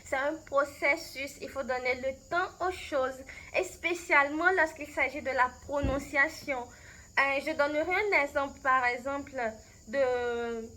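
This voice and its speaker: Slow, thoughtful Beninese French woman. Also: sits high, sharp tone, low-quality recording. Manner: thoughtful, unhurried, with frequent hesitations and restarts. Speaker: language coach. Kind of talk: answering interview questions to camera.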